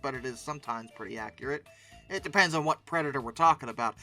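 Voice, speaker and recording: An expressive, emphatic host giving casual reaction commentary, often breaking into exclamations. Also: medium pitch. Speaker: host of a paleoart commentary channel.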